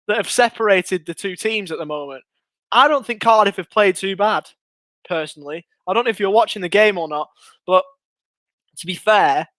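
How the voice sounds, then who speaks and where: A young English man hosting a live fan watchalong, commentating on a match and reading out viewer comments, excitable and chatty, his voice going hoarse. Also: high-pitched, no echo. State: losing his voice.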